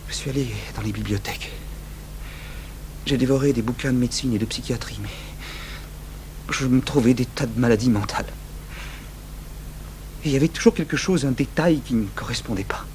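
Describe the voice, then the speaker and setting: Over the clear hiss and hum of an old radio drama tape, a male actor plays a haunted man confessing to a killing he could not resist. His mid-pitched voice is halting and anguished, heavy with remorse. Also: close to an everyday voice. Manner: anguished, halting, swinging from outbursts to hushed murmurs. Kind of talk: French radio drama acting. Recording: noisy, humming 1980s radio broadcast tape.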